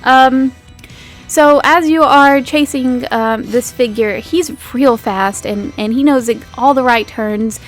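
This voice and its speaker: A woman's voice: high-pitched, slow, faint music behind. A woman game master narrating a tabletop roleplay casually, with informal, hesitant phrasing.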